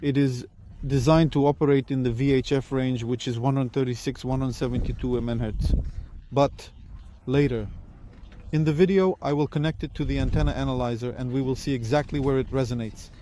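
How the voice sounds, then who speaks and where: A man's voice: mid-range voice, steady tone, fairly quick, warm tone, a little hiss or hum. A man giving a hands-on product review and demonstration, explaining plainly and step by step as the presenter. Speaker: presenter reviewing radio equipment.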